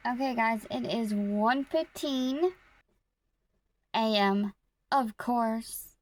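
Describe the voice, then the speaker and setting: Chatty higher-voiced woman crafting late. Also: very slow. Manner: rambling, self-correcting, talks to viewers while working. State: slightly rough throat. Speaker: crochet vlogger. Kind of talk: casual chatty vlog.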